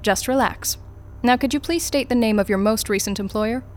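An actress playing a coolly polite, probing corporate interrogator in an audio drama, speaking in a brisk, formal, dryly sardonic way. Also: female voice, on the high side, close to an everyday voice. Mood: clinical, probing.

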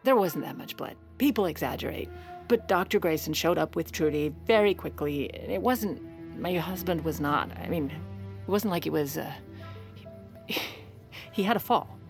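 An actress playing a protective, evasive First Lady, speaking in a halting, confessional way in an audio drama. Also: on the low side, soft background music, lightly acted. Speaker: actor and narrator in an audio drama. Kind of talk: dramatic first-person narration and dialogue.

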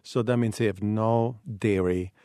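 A man's voice: on the low side, fairly even intonation, a little slow, mellow, no room sound. Italian-accented man, tour guide guest, emphatic explainer, dry close sound. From Italy. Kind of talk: radio guest chat.

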